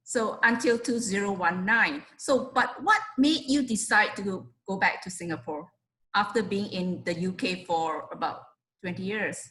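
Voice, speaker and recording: A woman's voice: medium pitch, normal speed, bright, forceful tone, rough recording. A woman hosting an interview, putting her questions across hesitantly with many fillers. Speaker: interviewer, host.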